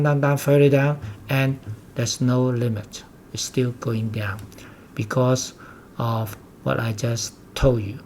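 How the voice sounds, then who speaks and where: A man's voice: mid-range voice, a little mellow, a little hiss or hum. An older man from Hong Kong with a Hong Kong accent, a native Cantonese speaker, giving investment commentary in an emphatic, repetitive, lecturing way. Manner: emphatic and repetitive, with blunt warnings.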